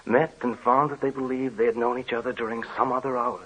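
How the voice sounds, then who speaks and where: A man narrating an old radio horror drama in a grave, measured way. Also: mid-range voice, fairly even intonation, a bit bright, breathy edge, rough recording.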